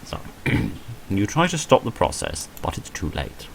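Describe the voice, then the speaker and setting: A man with a very low voice narrates a visual novel as he plays it. His delivery is animated and moves widely in pitch, broken by dry, self-deprecating asides. There is faint noise behind him. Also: measured pace, creaky. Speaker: video creator playing and reading a visual novel. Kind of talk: let's play, reading game text aloud with off-script asides.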